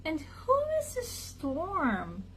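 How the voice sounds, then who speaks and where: A woman's voice: sits high, wildly swooping pitch, drawn-out words, slight background noise, voice pushed up, exaggerated voice, low-quality recording. A woman voicing a sleepy, unbothered cat in a children's storybook, murmuring languidly. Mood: sleepy, indifferent. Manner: languid, murmuring, meowed questions.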